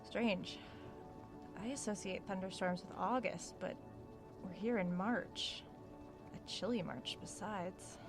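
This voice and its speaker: Uneasy haunted-house diarist, horror audio drama; medium register, hesitant thinking-aloud delivery over music. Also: female voice, mid-range voice, slow. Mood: uneasy, reflective. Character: uneasy woman writer investigating a haunted house. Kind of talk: horror audio drama acting, diary narration.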